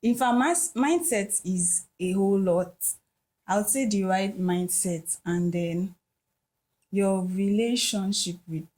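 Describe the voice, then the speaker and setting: A woman's voice: mid-range voice, takes it slowly, darkish tone. A woman speaking in a casual podcast discussion, thoughtful and hesitant, with many "um"s.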